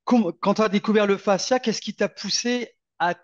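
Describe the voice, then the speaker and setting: A woman's voice: medium pitch, normal speed, highs cut off. A woman interviewing in a casual, conversational way, informal and encouraging as she listens and asks questions.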